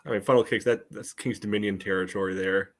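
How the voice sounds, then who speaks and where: A man's voice: lowish voice, steady tone, quick pace. A man co-hosting a sports podcast, chatting casually with a dry, wry sense of humour. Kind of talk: casual chat.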